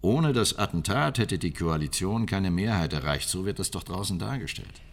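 A man's voice: sits low, animated, normal speed. A man acting in a German radio drama as an evasive state premier who placates a political ally in a confidential tone. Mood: wary, exasperated.

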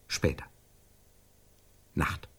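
A man's voice: very slow, a bit bright. An actor playing an intense scriptwriter who improvises a melodramatic scene in a stylised radio play, searching for the words as he narrates. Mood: excited, searching. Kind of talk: stylised radio drama acting.

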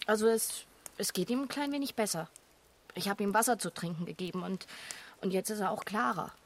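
An actress playing a compassionate, earnest woman in a naturalistic radio drama, speaking haltingly and repeating words with emotional involvement. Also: medium pitch, lightly acted. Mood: moved. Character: compassionate, earnest woman pleading for a sheltered stranger.

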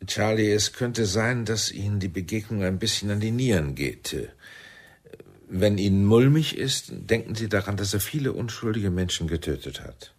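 Radio-drama spymaster, low voice, husky, deliberate, persuasive, authoritative. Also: male voice, animated. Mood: calmly firm. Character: commanding middle-aged male Israeli intelligence chief, smoothly persuasive.

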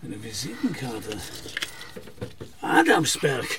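An actor playing a menacing, grandiose older criminal who commands and taunts in a German crime radio play. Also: male voice, mid-range voice, wildly swooping pitch, creaky, heavy background noise, heavily acted. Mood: taunting. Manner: commanding, taunting, drawn-out then snapping delivery. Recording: music under the voice.

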